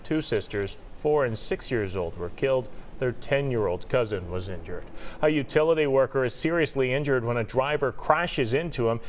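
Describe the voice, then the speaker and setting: A man's voice: medium pitch, animated, moderate pace, slight background noise. An American man reading scripted television news as an anchor.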